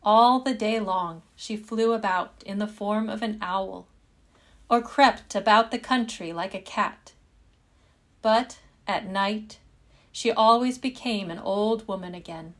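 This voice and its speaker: A woman reads a fairytale as a storyteller. She takes it slowly and deliberately, with measured phrasing and a mid-range pitch, as in scripted storybook narration.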